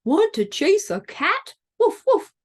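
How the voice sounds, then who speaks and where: A woman's voice: very high voice, wildly swooping pitch, slow, pitched above the performer's own voice, full character voice. A woman voicing a playful, eager farmyard dog from a storybook, with barks and keen invitations.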